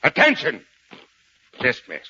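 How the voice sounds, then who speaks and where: An actor playing a tough, gruff old Marine sergeant major with folksy bluster in a 1940s radio play. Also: male voice, mid-range voice, huge pitch swings, slow, sharp tone, full character voice. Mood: stern, mocking. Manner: barking orders, folksy slangy bluster. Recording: dull, lo-fi 1940s radio recording.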